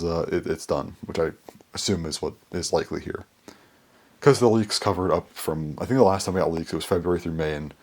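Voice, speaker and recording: A young man with a very low, deep voice gives a loose, chatty update. His voice is worn and hoarse, and he rambles along with many fillers and restarts, recorded by a camera in a small bedroom. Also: a little mellow. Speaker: toy collector vlogger.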